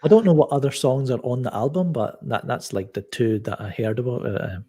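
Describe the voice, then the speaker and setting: Soft-spoken man, husky and mellow. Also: mid-range voice, expressive. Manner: soft-spoken, halting, many restarts. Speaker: podcast guest. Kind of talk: casual chat.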